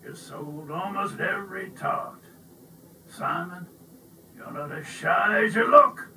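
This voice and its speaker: A man voicing a gruff old male baker who barks out his words in a storybook reading. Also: high-pitched, takes it slowly, sharp tone, roomy sound, distant mic, a little hiss or hum, heavily acted. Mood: friendly. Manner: barking exclamations.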